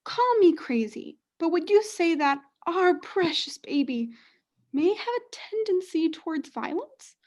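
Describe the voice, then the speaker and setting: A young woman plays a doting mother in denial in a student radio drama. Her voice is notably high, with a coy, theatrical delivery that sounds pleased with herself. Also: sing-song, unhurried, darkish tone, heavily acted. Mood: coy, probing. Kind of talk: student radio play acting.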